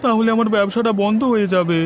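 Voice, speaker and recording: A man voicing a dishonest male hotel owner who pleads in a high, whiny voice, in a cartoon. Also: fairly even intonation, quick pace, slight background noise, natural voice. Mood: pleading. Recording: background music, far from mic.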